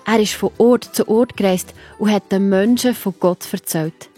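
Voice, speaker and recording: Slightly husky, mellow Swiss German woman narrator. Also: medium pitch, normal speed, faint music behind. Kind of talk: scripted children's story narration, addressing the listener.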